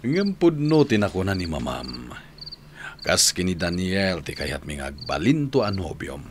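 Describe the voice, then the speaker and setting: Deep-voiced Filipino father. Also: big pitch swings, measured pace, noisy background. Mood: fond, probing. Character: kindly middle-aged father, fond and gently probing. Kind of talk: radio drama acting.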